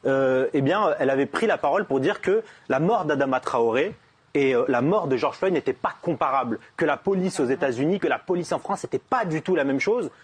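A man's voice: a little high, expressive, brisk, slightly rough. A man in a political discussion, arguing his point with stammering restarts.

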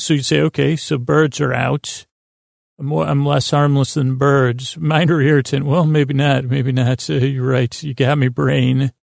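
A man with a creaky, gravelly voice hosting a sleep podcast in a meandering, halting monologue that keeps interrupting itself. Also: mid-range voice, a little mellow, dry recording, studio quality. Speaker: podcast host.

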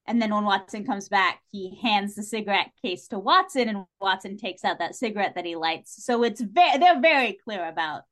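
A woman speaking as a podcast guest in casual, animated chat, giving her views informally and with emphasis. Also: mid-range voice, normal speed, hardly any pauses. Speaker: podcast guest discussing a TV series.

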